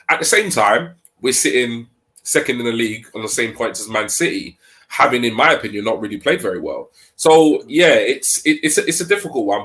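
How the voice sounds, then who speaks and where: A man with a stuffy head cold talking casually about football on a podcast, hesitant with many pauses. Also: mid-range voice, a bit bright. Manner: hesitant, many ums, thinking aloud. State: congested with a cold. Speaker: podcast co-host.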